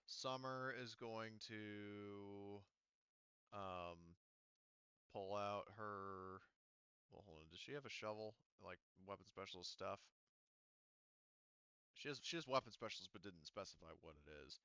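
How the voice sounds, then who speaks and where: A man's voice: fairly low pitch, measured pace, long pauses, sharp tone, clean tone, no room sound. A man acting as game master, explaining tabletop rules in an insistent, explanatory way on a close mic. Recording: slightly dull, lo-fi voice recording.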